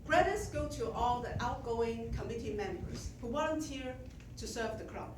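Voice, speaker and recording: A middle-aged woman with a Chinese accent gives a measured, self-deprecating prepared speech to her club as outgoing president. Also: fairly high pitch, animated, background noise. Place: meeting room with audience. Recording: echoey room from a distance.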